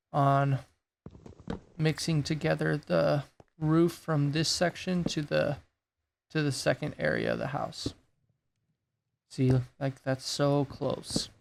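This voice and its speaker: A man with a raspy voice that is going hoarse, thinking aloud in a laid-back way while he builds in a game. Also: on the high side, slight background noise. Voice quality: gravelly, raspy. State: losing his voice. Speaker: gaming YouTuber. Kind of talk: casual gameplay commentary.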